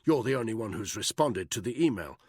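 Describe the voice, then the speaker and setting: A man's voice: fairly low pitch, lively intonation, fairly quick, a little mellow, breathy edge, no room sound, studio quality, pitched above the performer's own voice. A man voicing a hard, furious soldier who growls with contempt. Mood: menacing.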